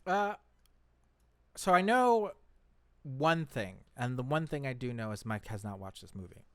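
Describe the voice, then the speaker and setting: Animated man with a bright, dry-recorded voice. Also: mid-range voice, unhurried, dry recording. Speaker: gaming show host. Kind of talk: casual panel chat.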